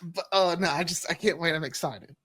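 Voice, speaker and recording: A man's voice: fairly high pitch, fairly quick, fairly bright tone, a little hiss or hum. A man chatting casually and enthusiastically as a writer on a panel. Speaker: audio drama writer. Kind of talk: casual group chat.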